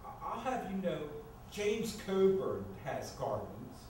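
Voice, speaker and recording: A man's voice: high-pitched, sing-song, takes it slowly, darkish tone, exaggerated voice. A man playing a flustered, exasperated adult son in a staged screenplay reading, stammering, defensive and sarcastic. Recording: echoey room, distant mic, noisy.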